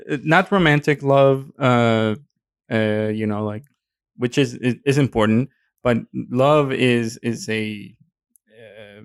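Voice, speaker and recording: Mexican guy co-hosting a podcast, slow and kinda hesitant, lower voice, dry sound. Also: no room sound. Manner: hesitant, many fillers, laughs often. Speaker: podcast co-host. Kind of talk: casual chat.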